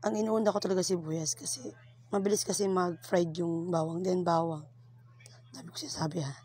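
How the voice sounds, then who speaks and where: Woman, cooking vlogger, chatty, giggly, hoarse and breathy, lowish voice, home bathroom. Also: fairly even intonation, fairly quick, warm tone. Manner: chatty, giggly, self-teasing. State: voice hoarse, partly whispery. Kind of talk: casual cooking tutorial in Taglish.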